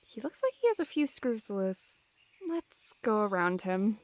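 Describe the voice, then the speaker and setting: A woman playing a brisk, practical female companion in a fantasy audio drama. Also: medium pitch, very animated. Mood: matter-of-fact.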